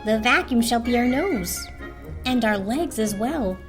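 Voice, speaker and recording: A determined little toaster played by a woman speaks high and sweetly. It reasons earnestly and diplomatically, as a storybook character over soft music. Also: lively intonation, measured pace. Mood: bantering, bright. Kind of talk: dramatic storybook reading. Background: orchestral film score.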